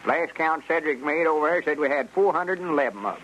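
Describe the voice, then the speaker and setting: An actor playing a self-important old country storekeeper in a radio comedy, with a folksy rural Southern drawl. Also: male voice, a little high, expressive, fast, a bit bright, dull audio. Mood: businesslike. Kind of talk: 1930s-40s radio comedy acting. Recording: noisy vintage radio recording.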